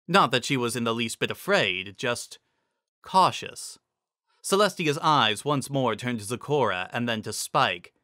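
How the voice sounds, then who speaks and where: A man's voice: mid-range voice, bright, forceful tone, no room sound, studio quality. A man narrating fantasy fiction as a dramatic reading.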